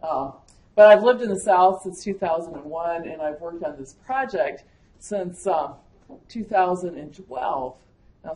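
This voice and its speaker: A woman's voice: mid-range voice, a little mellow. A woman with a Wisconsin accent, giving a conversational conference lecture as an agricultural climatologist, with frequent fillers. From Michigan, USA. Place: conference room. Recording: echoey lecture room.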